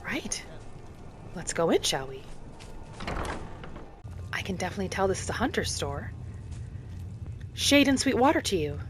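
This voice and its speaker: Gentle young elf traveler, played by a woman, curious and musing, cozy fantasy game narration, faint music underneath. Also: medium pitch, big pitch swings, normal speed, pauses often, natural voice. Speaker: gamer narrating a roleplay as her character. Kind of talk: first-person in-character roleplay narration. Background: game music behind the voice.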